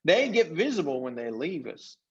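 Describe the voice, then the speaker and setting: Guy doing a long-winded folksy spirit chief from a storybook, pitched high, swoops around, kinda dull recording. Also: very animated, normal speed. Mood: blustering. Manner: rambling, folksy, nonstandard grammar.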